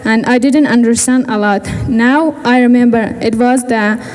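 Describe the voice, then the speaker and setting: Teenage girl, halting. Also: on the high side, steady tone, a little mellow, long reverb tail, soft background music. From Afghanistan. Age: teens. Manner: halting, searching for words in English. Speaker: student speaker. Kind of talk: personal speech at a gala. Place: large event hall.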